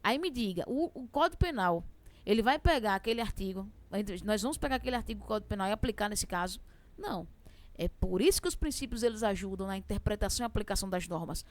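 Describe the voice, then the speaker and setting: A young woman with a strong regional accent teaching law for civil service exams, fast-talking and chatty, asking questions and answering them herself. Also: medium pitch, expressive. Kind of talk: exam prep lesson.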